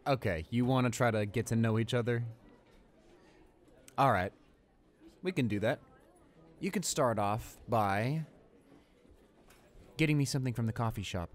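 A man's voice: medium pitch, unhurried, pauses often, close to an everyday voice. A young man playing an arrogant, irritable student bully in an improvised audio roleplay, with a smug, dismissive manner. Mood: smug, condescending. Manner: smug, dismissive, muttering to himself.

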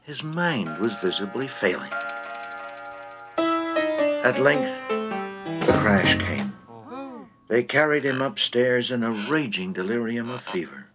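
A man's voice: mid-range voice, very animated, takes it slowly, long pauses, loud music behind the voice. A man narrating a comic short story in a droll, theatrical, precise manner.

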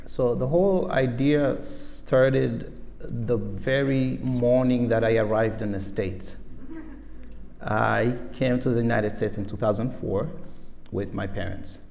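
A man, speaking on a panel onstage in a large museum auditorium, telling stories at an unhurried pace and laughing as he tells them. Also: medium pitch, gravelly, a little mellow, slight background noise. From Somalia. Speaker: panelist, farm collective member. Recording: echoey auditorium with faint ambience.

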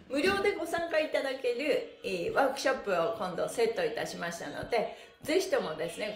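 A high-voiced middle-aged woman leads a livestreamed stretch session with upbeat, exclamatory encouragement. She mixes short rhythmic cues with polite, playful chat. The room is echoey, and music plays behind her. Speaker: stretching instructor and livestream host. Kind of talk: live exercise class, counting calls and friendly chat. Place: small bare indoor room with wooden floor.

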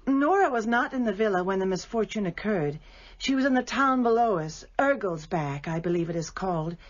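An actress playing a haughty, gracious widowed baroness in a radio drama, with formal, emphatic, theatrical speech and a husky voice. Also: female voice, medium pitch, lively intonation, brisk, close to an everyday voice. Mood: composed, explaining. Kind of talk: British radio drama acting. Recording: muffled radio broadcast.

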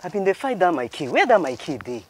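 A man's voice: high voice, sing-song, fast. A man with a Nigerian accent playing a pleading, sheepish fellow in a film comedy. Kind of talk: film acting in Nigerian Pidgin.

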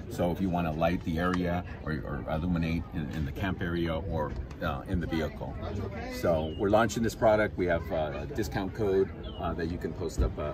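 Brisk salesman demoing gear outdoors. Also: sits low. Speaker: company owner. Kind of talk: product demo pitch. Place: outdoor fair beside a vehicle. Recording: distant mic with loud crowd.

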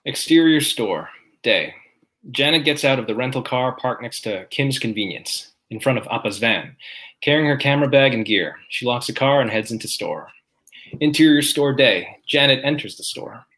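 A man reads the stage directions at a table read, plain and even in a middle-pitched voice. He comes through a video call. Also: moderate pace, poor audio.

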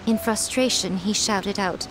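A woman's voice: mid-range voice, unhurried, music in the background, natural voice, poor audio. A woman voicing a sly, poised fox shrine maiden in a video game, coy, teasing and assured. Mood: composed, explaining. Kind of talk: video game character acting.

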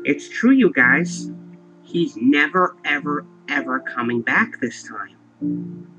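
A man's voice: a little high, animated, slow, bright, forceful tone, faint music behind, exaggerated voice, low-quality recording. A man voicing a melodramatic, foul-mouthed toy monster in a comic puppet parody. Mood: mock mourning. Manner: melodramatic, sweary.

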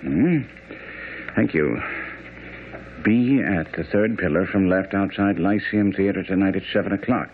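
An actor playing a brisk, self-assured Victorian gentleman detective in a radio drama, formal and commanding. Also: low voice. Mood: keen, brisk. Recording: tinny old radio broadcast, faint noise.

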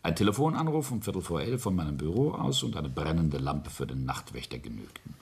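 An actor playing a mocking, menacing middle-aged industrialist in a radio play, speaking with sardonic deliberation. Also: male voice, rumbling low, very animated, creaky, slightly rough, exaggerated voice. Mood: cold menace. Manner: sardonic, deliberate, with whispered drops. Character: menacing, mocking family patriarch and ambitious industrialist. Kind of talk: German radio play acting.

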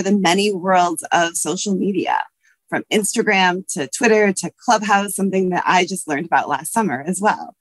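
A woman's voice: medium pitch, dry recording. A woman in her thirties, a history professor, giving prepared remarks on a video call from her office. Her voice is scratchy from allergies, and she talks earnestly with frequent fillers. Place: office with bookshelves. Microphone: webcam video call.

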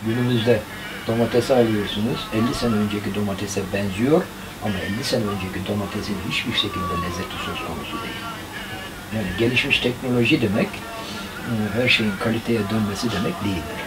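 A man's voice: lowish voice, fairly quick, over loud music, low-quality recording. An older man, a gramophone repairer, talking thoughtfully about old times in a small cluttered workshop. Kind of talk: reflective interview talk.

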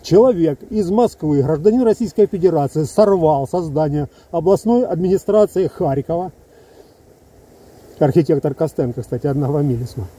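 A man in his fifties from Ukraine speaks into a phone held close, outdoors among trees. His voice is husky and very dark, and it sits fairly high for a man. He talks in an emphatic, heated way and keeps breaking off into asides. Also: moderate pace. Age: 50s. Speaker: soldier vlogger. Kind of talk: casual video monologue to camera. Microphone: phone held close, selfie framing.

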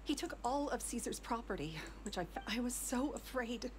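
A woman's voice: a little high, expressive, normal speed, slight background noise, lightly acted. An actress playing an anxious, apologetic young woman in a video game, faltering and emotional. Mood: anxious, relieved. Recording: game music behind the voice.

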